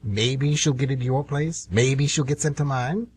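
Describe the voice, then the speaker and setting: An actor playing the Devil as a scheming, irritable, sardonic dealmaker in an amateur radio comedy. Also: male voice, mid-range voice, fairly even intonation, moderate pace, husky, natural voice. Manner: sardonic, insinuating, grumbling.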